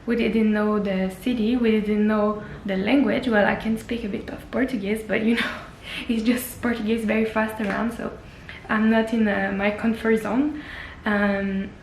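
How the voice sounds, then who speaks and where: A woman's voice: mid-range voice, little pitch movement, moderate pace, echoey room, slight background noise, low-quality recording. A young woman whose first language is French, chatting earnestly in a personal travel vlog, often restarting her sentences. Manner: earnest, many restarts and fillers. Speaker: travel vlogger. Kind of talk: casual personal vlog talk.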